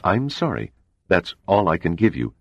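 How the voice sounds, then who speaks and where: A man voicing a calm male intelligence official who speaks impassively and evasively. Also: low voice, moderate pace, breathy edge, no echo, lo-fi sound, pitched above the performer's own voice, exaggerated voice.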